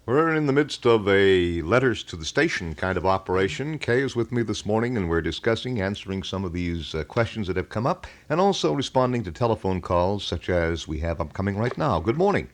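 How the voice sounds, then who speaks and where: A man's voice: fairly low pitch, very animated, a little fast, hardly any pauses. A man hosting a call-in show in casual, wordy, folksy announcer style, as on a 1980 local radio broadcast. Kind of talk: casual live radio chat. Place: radio studio.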